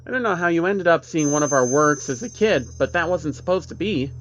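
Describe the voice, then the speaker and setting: A man's voice: on the high side, lively intonation, fairly quick, a bit bright, slight background noise, voice pushed up, poor audio. A man voicing a guarded, evasive but firm woman from a secretive group in a narrated horror story. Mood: defensive, evasive.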